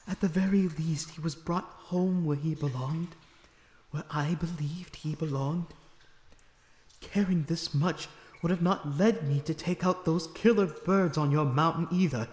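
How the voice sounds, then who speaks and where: A man playing a proud, wronged vampire prisoner in an audio roleplay, pleading bitterly in formal, theatrical phrases with halting pauses. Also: mid-range voice, a little mellow, natural voice. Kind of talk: dramatic audio roleplay monologue, addressed to listener. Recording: echoey dungeon-like reverb, audible background noise.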